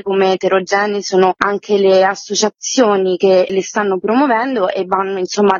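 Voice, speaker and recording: A woman giving articulate, measured, formally worded explanations as an interviewed organiser. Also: medium pitch, dry recording. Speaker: festival organiser being interviewed. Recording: dry but muffled lo-fi audio.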